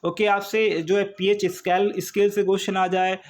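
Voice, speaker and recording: A high-voiced man works through an informal exam coaching lesson. He explains step by step, often repeating himself and posing small questions that he answers himself. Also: flat delivery, normal speed. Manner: repetitive explaining, checking with short tags and rhetorical questions.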